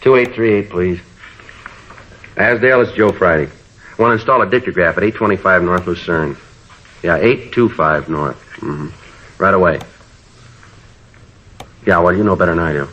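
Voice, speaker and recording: An actor playing a terse, polite, unflappable police sergeant in a 1950s radio drama, deadpan and clipped. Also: male voice, deep voice, normal speed, pauses often. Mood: brisk, businesslike. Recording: dull old radio recording.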